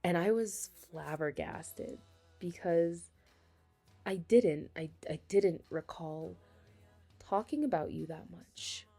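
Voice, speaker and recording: A young woman playing a flustered, affectionate best friend with a secret crush in an intimate ASMR roleplay, speaking hesitantly and haltingly. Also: on the low side, mellow, husky, faint music behind, heavily acted. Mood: shy, confessional. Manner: hesitant, halting, breaking into nervous laughs. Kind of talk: ASMR romantic roleplay, intimate conversational acting.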